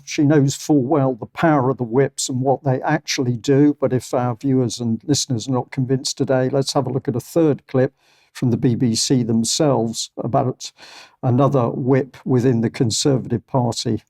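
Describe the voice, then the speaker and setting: A man's voice: mid-range voice, creaky, darkish tone. A man presenting and commenting on political news in a loosely unscripted way.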